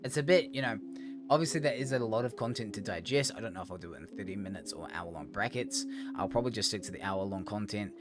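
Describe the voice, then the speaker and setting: Hoarse man giving a channel update. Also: lowish voice, lively intonation, fast. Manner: rambling, with many ums and restarts. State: hoarse, has lost his voice from a cough. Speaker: gaming streamer and YouTuber. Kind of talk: casual channel update to viewers. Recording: guitar music playing under the voice.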